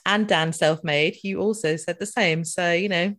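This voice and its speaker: A woman's voice: on the low side, steady tone, normal speed, a bit bright. A Scottish woman co-hosting a casual podcast, teasing and laughing often. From Scotland. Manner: giggly, teasing, sweary. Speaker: podcast co-host. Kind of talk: casual chat.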